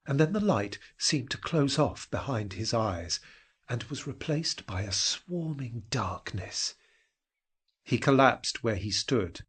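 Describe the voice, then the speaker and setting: A man narrating a horror story in a measured, suspenseful and dramatic way. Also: mid-range voice, fairly bright tone, breathy edge. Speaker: narrator reading a short story.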